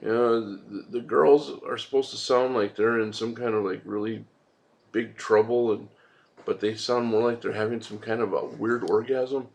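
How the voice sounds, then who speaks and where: A man's voice: on the low side, fairly even intonation, normal speed. A man hosting a movie review vlog, rambling casually in an informal, laid-back way with some swearing.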